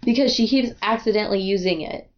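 Woman podcast host, from the Midwest, chatty book talk, dull lo-fi sound. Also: mid-range voice. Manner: chatty, quick asides and quoting voices. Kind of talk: casual book discussion.

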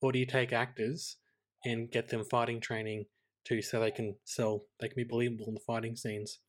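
Man mulling over films, middle-pitched. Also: level tone, fairly quick. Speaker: podcast co-host. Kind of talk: casual film review chat.

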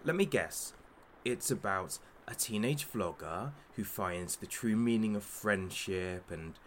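An actor playing a menacing, mocking captor who interrogates with pompous sarcasm in an audio drama. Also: male voice, lowish voice, a bit bright, slight background noise. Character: menacing, sneering, theatrical captor interrogating a young influencer. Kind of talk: audio drama villain monologue.

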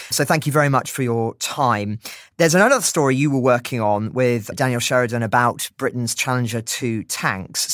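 A man's voice: mid-range voice, dry recording. British male news host, dry close sound. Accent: British English. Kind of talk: journalistic hosting and interviewing.

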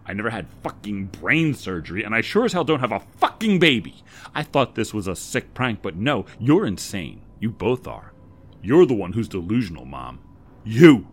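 A man voicing an angry, bewildered adult woman who swears and shouts in disbelief. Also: deep voice, moderate pace. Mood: furious.

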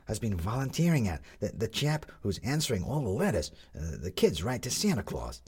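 A man voicing a fretful young gentleman at breakfast who stumbles over his words. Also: on the low side, huge pitch swings, brisk, slightly rough, exaggerated voice. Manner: hesitant, restarts with fillers.

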